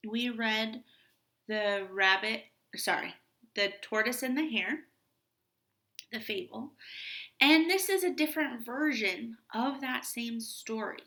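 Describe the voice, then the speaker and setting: Slow-paced, higher-voiced woman reading a storybook. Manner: deliberate, expressive storybook delivery. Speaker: teacher reading to pupils. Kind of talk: children's story read-aloud.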